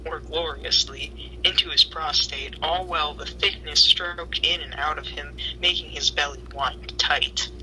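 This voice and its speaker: A woman's voice: low voice, steady tone, a little slow, sharp tone, slight background noise, poor audio. A young woman reading fan fiction dramatically and chatting in slang with friends.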